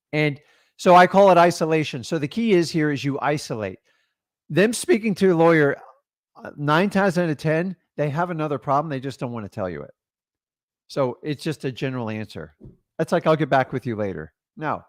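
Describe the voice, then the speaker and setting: A man in his forties coaching on selling, talking to camera in a home studio with a studio mic, punchy and animated, acting out little dialogues. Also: medium pitch, no echo. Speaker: real estate coach. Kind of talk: instructional talk to camera. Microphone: studio mic on boom arm.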